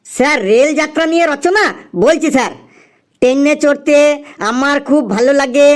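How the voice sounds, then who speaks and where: A man plays an eager cartoon schoolboy with a very high voice. He is cheeky and earnest, reciting like a pupil showing off an essay in class. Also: moderate pace. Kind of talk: comic cartoon dialogue.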